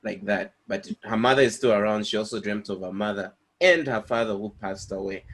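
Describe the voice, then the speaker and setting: A man from Zambia chatting casually on a podcast over a video call, often pausing with fillers and restarting his sentences. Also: fairly low pitch, fairly bright tone. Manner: hesitant, many fillers and restarts. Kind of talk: casual chat.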